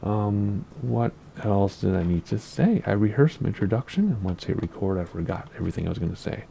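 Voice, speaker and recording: A man with a very low, gravelly voice that sounds scratchy from allergies, talking through a flight sim session. His tone is dark and mellow, and he rambles, gets distracted, and chuckles at his own mistakes. A faint hiss sits under the recording. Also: animated, brisk. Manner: rambling, easily distracted, self-deprecating, laughs at himself. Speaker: flight sim gameplay host. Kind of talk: casual gameplay commentary.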